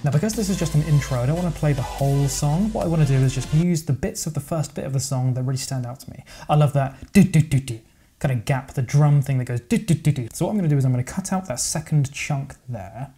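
A man narrating a software editing tutorial in a relaxed, chatty way, thinking aloud as he goes. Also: medium pitch, fast. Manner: casual, explanatory, thinks aloud with verbal sound effects. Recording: voice-over with music playing underneath.

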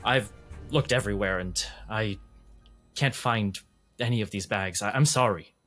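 A polite crewman of a ship, acted by a man speaks in a fairly low voice. He is apologetic but dutifully insistent. Music sits behind him in a fantasy role-play podcast. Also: normal speed, a bit bright.

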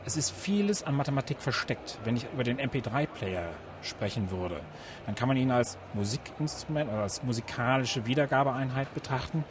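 A man's voice: mid-range voice, animated, a little fast. A man answering interview questions as a guest, explaining things with examples. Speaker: interview guest, science organisation director. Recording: noisy event ambience with traffic.

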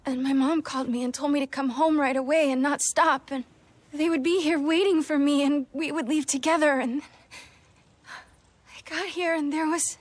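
Game role: scared young schoolgirl voiced by a woman, naive and hesitant, high childlike voice. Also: steady tone, moderate pace, dry recording, lightly acted. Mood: tearful, shaken. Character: frightened, naive young schoolgirl left alone. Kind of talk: naturalistic video game acting.

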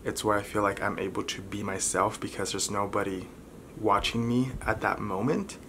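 A man in his twenties talking candidly to camera as a vlogger, halting and pausing often between short phrases. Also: fairly low pitch, steady tone. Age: 20s. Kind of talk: candid personal talk to camera. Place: bedroom, indoors. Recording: clearly noisy room recording.

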